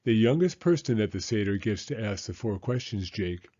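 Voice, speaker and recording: A man's voice: on the low side, lively intonation, normal speed, dark tone, muffled recording. A man voicing a patient, encouraging father in a storybook reading. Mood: patient, explaining.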